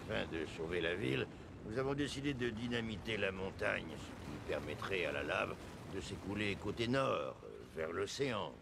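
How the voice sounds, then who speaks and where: A man voicing a gruff, authoritative older-style official in a French cartoon dub. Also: low voice, expressive, normal speed, background noise. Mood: grave, explanatory.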